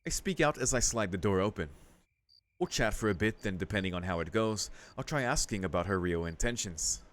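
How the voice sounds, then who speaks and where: A man with a deep voice streams a story game. He alternates between reading narration and relaxed asides, musing aloud and swearing casually. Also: brisk. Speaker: streamer playing through and reading a visual novel.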